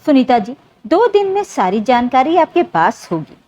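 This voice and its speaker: A woman narrator voicing a steady, righteous male police inspector in a story, firm in manner. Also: sits high, moderate pace. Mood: firm, reassuring. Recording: dull, lo-fi sound.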